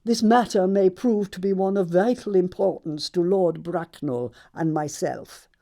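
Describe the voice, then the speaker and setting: Woman acting an imperious, slow-speaking aristocratic English lady. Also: lowish voice, vocal fry, mellow. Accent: upper-class English. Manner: pompous, commanding, emphatic enunciation. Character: imperious, snobbish aristocratic older lady, haughty and disapproving. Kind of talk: stage comedy audiobook acting.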